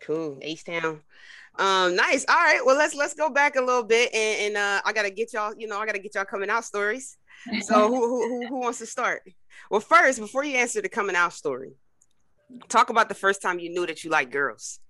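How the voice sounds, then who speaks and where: Podcast host, woman, bright voice, easygoing slangy questions, dry close sound. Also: medium pitch, a little fast, no echo. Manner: relaxed, slangy, repeats words while asking questions. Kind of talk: casual interview chat.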